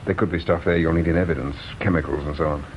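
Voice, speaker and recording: An actor playing a methodical police detective in a radio drama, speaking in a dry, understated, deliberate way. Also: male voice, rumbling low, fairly even intonation. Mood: dry, thoughtful. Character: measured, dry, methodical male police inspector. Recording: dull, faintly hissy radio drama.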